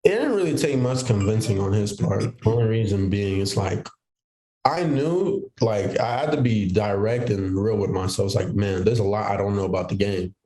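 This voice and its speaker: A man's voice: fairly low pitch, moderate pace, mellow, reverberant, poor audio. A young man born in Haiti, a football player guest on a podcast, telling his story in an easygoing way. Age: young adult. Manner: easygoing, storytelling with quoted inner thoughts. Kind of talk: casual interview chat.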